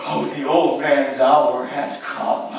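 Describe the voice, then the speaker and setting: A man playing a nervous, unhinged murderer in a theatrical gothic horror reading, halting and repeating himself between sudden outbursts. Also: medium pitch, level tone, creaky. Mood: frenzied. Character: unhinged nervous murderer narrating his gothic crime, gleeful and frantic. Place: live show at an amusement park. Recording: echoey hall from far off, some noise.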